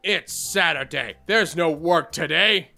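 Man putting on a bossy, bright-voiced young woman. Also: fairly high pitch, takes it slowly, gravelly, voice pushed up, heavily acted. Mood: brisk. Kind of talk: visual novel character dubbing. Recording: music behind the voice.

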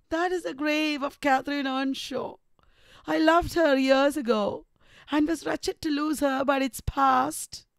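A woman's voice: high-pitched, no room sound, clean studio sound, voice pushed up. A woman reading the part of a proud, bitter, dying young woman reproaching her lover in a classic novel.

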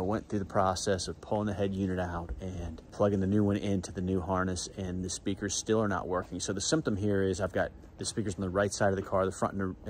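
A man with a fairly low voice presents a hands-on car vlog in loose, slangy language. He talks casually to the viewer, with faint noise around him. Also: darkish tone. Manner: informal, slangy. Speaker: car channel host working on his own Porsche builds. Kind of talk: casual vlog commentary, talking to camera.

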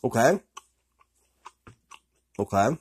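A man's voice: medium pitch, very animated, very slow, slightly rough. A man reviewing a beef stick on camera, talking casually with lots of ums and repeated "really, really good". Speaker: product reviewer. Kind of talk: casual food review vlog.

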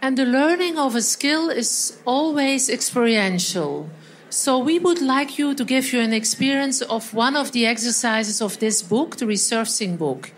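A woman's voice: fairly high pitch, lively intonation, normal speed, slight background noise. A woman from Belgium co-presenting a live training workshop, explaining steps in slightly non-native phrasing. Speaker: seminar trainer. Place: event hall.

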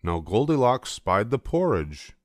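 A man's voice: fairly low pitch, very animated, unhurried, no echo. An older man reading a children's storybook aloud as scripted narration. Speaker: storybook reader.

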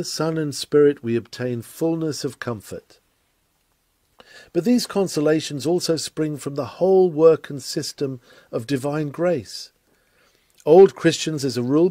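A man reading a scripted sermon aloud in a solemn, measured, oratorical manner. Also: mid-range voice, warm tone, dry recording. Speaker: sermon reader.

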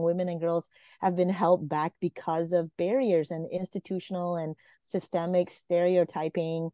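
A woman's voice: fairly low pitch, warm tone, no room sound. A woman in her forties from Malaysia, a guest talking earnestly on a video call with many fillers and restarts. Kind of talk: casual interview talk. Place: home room, video call. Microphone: video call webcam. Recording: dull lo-fi video call audio.

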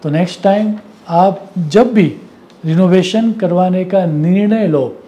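Man, forties, slightly high pitch, unhurried pace, instructional delivery, English words mixed in. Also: warm tone, lo-fi sound. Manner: measured, didactic, mixes in English words. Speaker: Vastu consultant presenting. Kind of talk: instructional talk to camera. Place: indoor office or study. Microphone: lapel mic.

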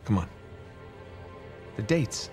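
A man's voice: slow, music in the background, lightly acted. An actor playing an eager, stubborn treasure hunter in his thirties in a video game, an American man talking casually and persuasively. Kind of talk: cinematic video game acting.

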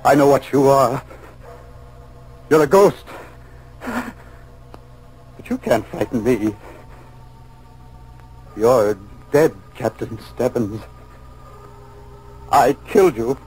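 An actor in a 1940s radio drama playing a guilt-ridden man cracking into terrified madness, halting and repeating himself. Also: mid-range voice, lively intonation, darkish tone, slightly rough, exaggerated voice. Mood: haunted, defiant. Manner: halting, repetitive, overwrought. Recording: noisy 1940s radio recording.

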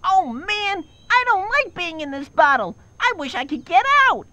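A man's voice: very high voice, big pitch swings, normal speed, bright, forceful tone, no room sound, exaggerated voice. A man voicing a whiny, sorry male fox in a children's cartoon game, plaintive and exclaiming. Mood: whining, dismayed.

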